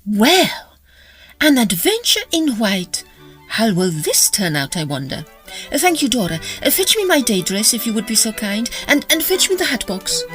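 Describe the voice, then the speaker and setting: A woman playing an excitable, grand Victorian opera lady in a farcical audio drama, with a dramatic, exclaiming manner. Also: on the high side, normal speed, soft background music. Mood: composed. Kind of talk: audio drama farce acting.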